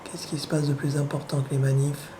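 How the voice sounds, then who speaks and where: Hoarse man with the flu, sardonic. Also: on the high side, little pitch movement, brisk, warm tone, slight background noise, low-quality recording. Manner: sardonic, wry, full of hesitations. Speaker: vlogger. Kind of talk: rambling casual monologue.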